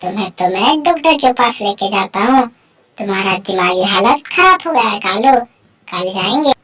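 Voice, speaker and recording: A woman's voice: medium pitch, expressive, normal speed, fairly bright tone. A woman voicing a fretful, scolding crow wife in a cartoon story, complaining and repeating herself. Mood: impatient. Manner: repetitive, complaining. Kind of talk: Hindi animated bird story dialogue.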